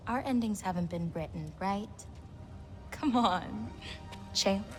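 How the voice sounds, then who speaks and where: A woman's voice: medium pitch, slow, faint music behind, close to an everyday voice. A young woman playing a playful, teasing giantess in a video game, bold and wry in manner. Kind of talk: naturalistic video game acting.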